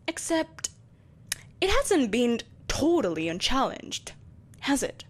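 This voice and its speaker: A woman voicing an ancient, ageless sorceress empress in an audio roleplay, telling her tale in a grandiose, deliberate, condescendingly polite manner. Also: fairly high pitch. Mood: imperious, musing. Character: ancient, ageless evil sorceress empress, haughty, ruthless, possessive. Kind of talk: theatrical dramatic monologue, audio roleplay.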